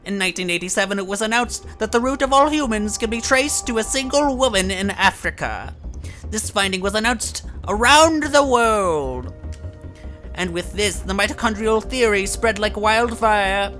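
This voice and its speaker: A woman in a fan dub voicing an obsessive, condescending, sinister professor with a deliberate, theatrical way of lecturing. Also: medium pitch, animated, fairly bright tone, soft background music, natural voice. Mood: reverent intensity. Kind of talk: fan dub voice acting of a video game scene.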